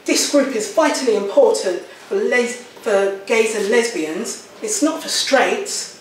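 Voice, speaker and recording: A woman's voice: a little high, lively intonation, a little slow, a little hiss or hum. A woman voicing a disapproving, cliquey female office colleague in a story, pointed and judgmental. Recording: echoey room, distant mic.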